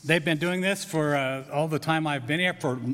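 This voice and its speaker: Chatty pastor, slightly high voice, echoing hall. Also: male voice, moderate pace, fairly bright tone, a little hiss or hum. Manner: chatty and self-deprecating. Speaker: pastor leading worship. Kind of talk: casual church announcements. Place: church sanctuary. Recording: long church hall reverb.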